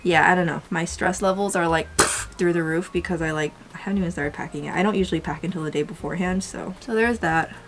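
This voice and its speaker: Slightly hoarse woman, low and fry-heavy, rambling casual beauty-vlog delivery. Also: fast. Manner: rambling, filler-heavy, chatty. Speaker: beauty vlogger. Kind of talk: casual makeup tutorial chat.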